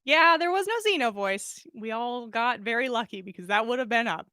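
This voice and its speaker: Female podcast host for story time, high-pitched, casual delivery, dry sound without room echo. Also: expressive, fairly quick, bright, forceful tone. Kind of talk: fan fiction reading and casual livestream chat.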